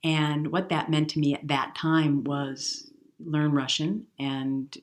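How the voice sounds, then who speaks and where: A woman's voice: deep voice, little pitch movement, slow. A woman in her fifties from the US Midwest, a jazz singer telling her story in an interview, reflective and earnest. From Milwaukee, US Midwest. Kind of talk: conversational interview.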